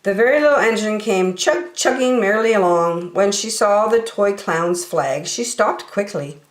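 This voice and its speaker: A woman's voice: medium pitch, lively intonation, moderate pace. A woman reading aloud expressively to young children as a storyteller, with emphatic, encouraging phrasing, recorded in an echoey room.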